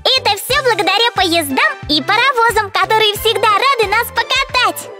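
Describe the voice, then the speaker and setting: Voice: very high-pitched, animated, moderate pace. A performer voicing a curious, cheerful little girl know-it-all in an educational children's cartoon, eagerly explaining things. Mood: earnest. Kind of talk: scripted educational cartoon dialogue. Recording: children's music behind the voice.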